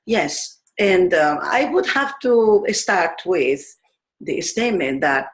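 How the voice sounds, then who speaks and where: A woman in her fifties from Spain with a Spanish accent, a teacher explaining ideas in a thoughtful way, pausing often with fillers. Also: fairly low pitch, very animated. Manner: thoughtful, pauses with many fillers. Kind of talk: explanatory talk on pedagogy.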